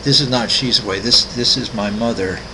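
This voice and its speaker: A man in his sixties, an artist walking through his website, talking casually with many ums, pauses and restarts. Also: medium pitch, noisy background. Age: 60s. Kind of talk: casual screen-recorded walkthrough.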